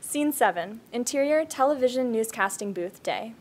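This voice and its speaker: Female narrator for screenplay directions, somewhat high-pitched, scripted read. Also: expressive, normal speed, a little hiss or hum. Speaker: stage-direction reader.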